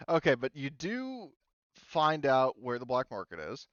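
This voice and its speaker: A man running a casual tabletop game as game master, explaining rules and narrating in an informal, halting way with frequent restarts. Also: medium pitch, huge pitch swings, fairly bright tone, clean tone, no room sound, muffled recording.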